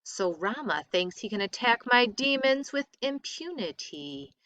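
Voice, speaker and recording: A woman's voice: fairly high pitch, big pitch swings, measured pace, a bit bright, pitched above the performer's own voice. A woman voicing a proud, menacing demon king in a storybook, snarling and haughty.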